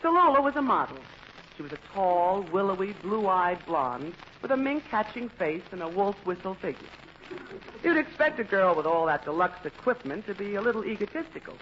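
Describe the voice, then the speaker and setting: A woman's voice: mid-range voice, big pitch swings, moderate pace. A woman giving scripted, wry and playful comic narration for a vintage radio show. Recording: muffled, noisy old radio recording.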